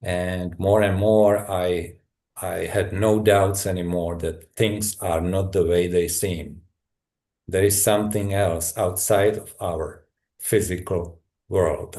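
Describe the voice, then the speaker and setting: A middle-aged man from Czechoslovakia with a Czech accent, a guest telling his story in a halting, thoughtful way with many restarts. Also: low voice, fairly even intonation, darkish tone. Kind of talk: personal story in a conversation.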